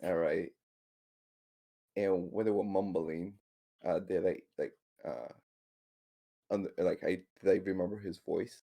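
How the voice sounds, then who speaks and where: Casting: male game player, deep mellow voice with fry, hesitant casual delivery. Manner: hesitant, halting. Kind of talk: casual roleplaying game chat.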